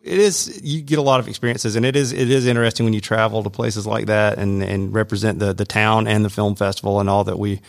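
A man's voice: lowish voice, steady tone, fast, creaky, hardly any pauses, dry recording, clean studio sound. A man hosting a casual chat, a festival director who shares stories and asks easygoing questions. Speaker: host, film festival executive director.

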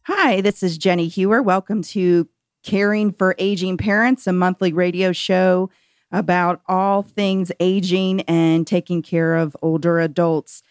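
A woman's voice: fairly low pitch, steady tone, a little slow, clear, resonant tone, no room sound, soft background music. A woman hosting a radio interview show in a studio, friendly and conversational.

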